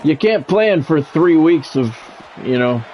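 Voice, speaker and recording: A man's voice: a little high, lively intonation, takes it slowly, a little mellow, slight background noise. A middle-aged man doing live football game commentary mixed with casual chat, talking in a blunt, profane, conversational way. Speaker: game streamer and play-by-play commentator.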